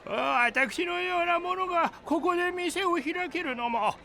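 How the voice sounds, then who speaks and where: Suspicious middle-aged stallkeeper, extremely high pitch, unhurried, oily, overpolite, chuckling, anime voice drama. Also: male voice, sharp tone, exaggerated voice. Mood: humble, sly.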